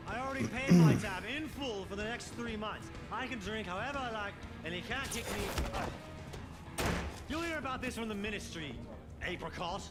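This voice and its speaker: An actor playing a bitter, belligerent young wizard who is drunk and slurring, in an audio drama. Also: male voice, high-pitched, expressive, moderate pace. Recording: loud music under the voice.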